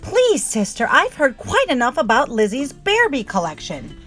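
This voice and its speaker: A woman's voice: high voice, huge pitch swings, normal speed, soft background music, pitched above the performer's own voice, full character voice. Woman as a firm, loving mama bear. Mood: exasperated. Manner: gently scolding and instructive.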